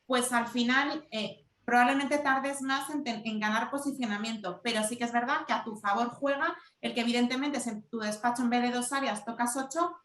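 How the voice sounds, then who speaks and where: A woman who leads corporate communications, giving measured, explanatory answers on a webinar panel over a video call. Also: on the high side, a bit bright, echoey room. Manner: measured, explanatory, repeats points for emphasis.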